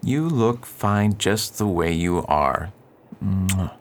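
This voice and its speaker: A man's voice: low-pitched, animated, takes it slowly. Man acting a troubled, restrained preacher over heavy noise. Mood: amorous. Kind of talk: period stage-style radio drama acting. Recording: noisy, rain-like background hiss.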